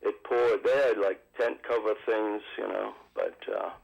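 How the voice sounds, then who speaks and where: A man's voice: fairly low pitch, steady tone, unhurried, creaky, slightly rough. A male radio caller with a cold, rambling casually with many fillers. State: has a cold, froggy. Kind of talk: casual call-in chat. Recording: muffled phone line.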